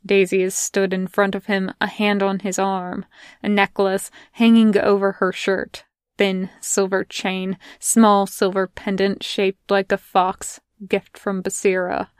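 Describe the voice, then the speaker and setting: Woman reading fanfic audiobook, slow and smooth, dry close mic. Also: medium pitch, no echo. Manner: measured, even reading. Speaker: podfic reader.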